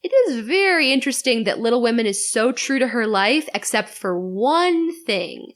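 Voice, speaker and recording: A woman guest on a podcast, chatting casually and offering her views with expressive emphasis. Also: on the high side, normal speed. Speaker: podcast guest, host of her own show. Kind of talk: casual chat, opinionated discussion. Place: in-person recording around a table.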